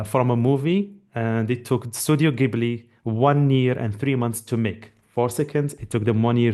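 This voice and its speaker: A man's voice: medium pitch, a little mellow. Encouraging Moroccan-born man hosting a webinar. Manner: friendly, encouraging, with frequent fillers and restarts. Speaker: webinar host and AI trainer. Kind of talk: live online webinar presentation.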